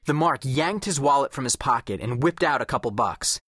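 An observant teenage boy narrates his story in the first person for an audiobook. His mid-pitched voice moves with animated, wry inflection. The sound is dry, with no room to it. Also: normal speed, no echo. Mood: wry, descriptive.

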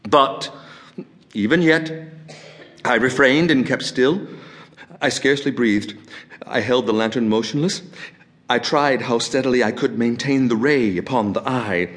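An obsessive madman confesses his crime with feverish glee, as acted out by a man. His mid-pitched voice is theatrical and halting, lingering on words and repeating them. It is delivered as a gothic horror monologue. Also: a bit bright, lightly acted. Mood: tense, mounting frenzy. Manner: theatrical, halting, with drawn-out words and stammered repetitions.

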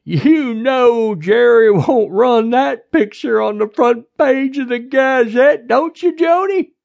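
A bossy small-town sheriff from an audiobook, acted out by the narrator, barking loudly in a raised pitch. The words are stretched out slowly with folksy sarcasm, and the pitch swings widely. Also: expressive, gravelly, muffled recording. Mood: taunting.

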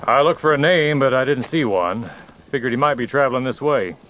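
An actor playing a calm, observant, plain-spoken cowboy in a western audio drama, talking in a laconic, musing way. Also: male voice, mid-range voice, a little fast, a little hiss or hum, close to an everyday voice. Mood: polite, matter-of-fact.

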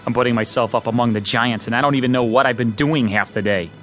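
Give this radio-play role to a man: a broke, obsessed artist with a medium-pitched voice. He is earnest, intense and brooding, full of self-doubt. Music plays underneath him. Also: steady tone, quick pace, fairly bright tone, close to an everyday voice. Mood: bewildered. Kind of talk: dramatized audio drama, first-person narration and dialogue.

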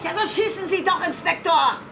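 An actress playing a stern, indignant elderly aristocratic lady in a German audio drama, speaking in a deliberate, imperious way. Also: very high-pitched, very noisy. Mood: urgent, alarmed. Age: older.

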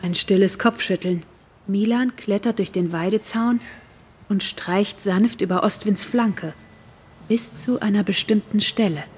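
A woman narrating a radio play in a calm storytelling manner. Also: medium pitch, unhurried, slight background noise.

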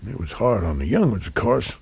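An actor playing an aging, hospitable frontier rancher in a western audio drama, talking in a folksy, rambling, hesitant way. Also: male voice, lowish voice, big pitch swings, fairly quick, creaky, darkish tone, slightly rough, heavily acted. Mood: reminiscing, proud.

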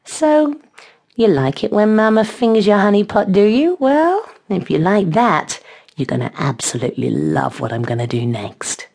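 A woman's voice: medium pitch, expressive, moderate pace, gravelly, a little mellow, pitched above the performer's own voice. A woman voicing a playful, self-assured seductive woman who talks in a sweetly teasing, mock-innocent way in an audiobook.

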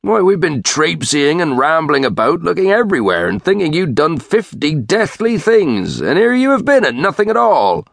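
Audiobook role: exasperated middle-aged West Country villager played by a male reader, emphatic, very animated pitch, close dry sound. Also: moderate pace, vocal fry, hardly any pauses, no room sound, heavily acted. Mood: exasperated scolding. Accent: rural English West Country. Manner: sonorous, rustic, emphatic. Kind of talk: audiobook character voice.

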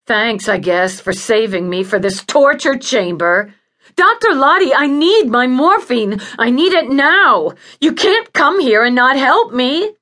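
A woman's voice: mid-range voice, measured pace, hardly any pauses, fairly bright tone, pitched above the performer's own voice, lightly acted. A woman voicing an agitated, foul-mouthed female patient in an audiobook, bitter, demanding and in pain. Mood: bitter sarcasm. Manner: pleading, snarling, accusatory. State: in pain, desperate. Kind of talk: audiobook character acting.

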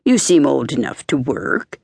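A woman's voice: extremely low pitch, sing-song, a little slow, creaky, a little mellow, slightly rough, dry recording, heavily acted. A woman voicing a kindly rich merchant in a storybook, paternal and authoritative. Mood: stern. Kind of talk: storybook character voice.

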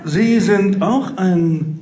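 A man's voice: sits high, extremely slow, crowd noise. A man playing a sleazy, needling tabloid reporter with an insinuating manner in an audio drama. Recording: roomy stage echo.

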